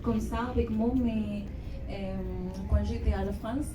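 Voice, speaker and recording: Slow, hesitant woman learner. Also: fairly high pitch, steady tone, background noise. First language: Spanish. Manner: hesitant, searching for words. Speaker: student in a workshop. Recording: echoey room, mic far away, loud music.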